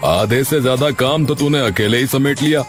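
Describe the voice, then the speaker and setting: A man's voice: medium pitch, moderate pace, faint music behind, lightly acted. A man playing a bossy, hearty middle-aged contractor in a cartoon story.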